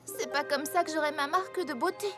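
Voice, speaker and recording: A woman's voice: sits high, fairly quick, lightly acted. A woman voicing an eager, earnest little girl pony in a French cartoon dub, childlike and easily discouraged. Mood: frustrated effort. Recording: music bed under the voice.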